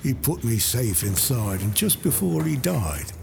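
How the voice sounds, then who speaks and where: A man voicing a rough old British soldier, reciting a war poem in heavy with bellowed calls. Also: medium pitch, big pitch swings, a little slow, noisy background, pitched above the performer's own voice. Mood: gruff recollection. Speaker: audiobook narrator. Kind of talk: dramatic poetry reading. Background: music.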